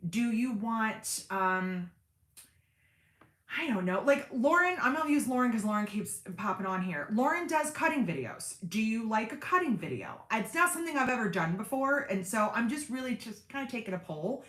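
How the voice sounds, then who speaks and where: A woman chatting on a sewing livestream, rambling happily through viewer comments with plenty of fillers and wry self-deprecating asides. Her everyday voice sits fairly deep. The sound has a noticeable room echo, as from a home studio. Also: sing-song, normal speed, fairly bright tone. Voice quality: deep speaking voice in everyday talk. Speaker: sewing channel host on a livestream. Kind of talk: casual livestream chat reading viewer comments.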